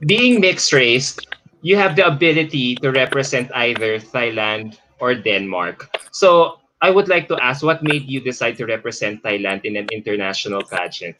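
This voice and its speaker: A man hosting a conversational interview, framing long questions haltingly and repeating his phrases as he goes. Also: mid-range voice, expressive, bright, forceful tone. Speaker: live show host, interviewer. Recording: clearly noisy background with clicks.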